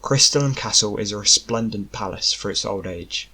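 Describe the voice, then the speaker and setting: A man narrating a scripted fantasy story as an audiobook, in a deliberate, dramatic storytelling manner. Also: lowish voice, sharp tone, breathy edge.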